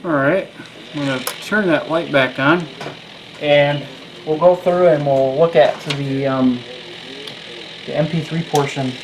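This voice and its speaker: A man giving a casual, matter-of-fact product demonstration in a halting way. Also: fairly high pitch, poor audio. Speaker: radio reviewer. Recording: clear background noise.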